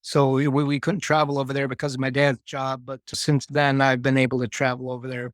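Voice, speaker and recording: A man in his fifties, born in Germany, talking casually as an interview guest with a relaxed, reflective manner. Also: medium pitch, a little fast, no room sound, studio quality. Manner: relaxed, reflective, with restarts and fillers.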